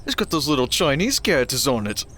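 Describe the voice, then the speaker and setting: A man's voice: medium pitch, big pitch swings, fast, bright, forceful tone. A man playing a cocky, hot-tempered, hard-drinking champion fighter in an audio drama, brash, matey and swaggering.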